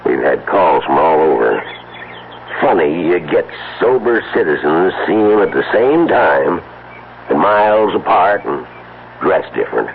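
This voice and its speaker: A man's voice: low-pitched, big pitch swings, measured pace, vocal fry, heavily acted. A man playing a skeptical, sardonic small-town policeman with a dry manner, in an old-time radio drama. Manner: dry, sarcastic. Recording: muffled narrowband old radio recording, faint hiss.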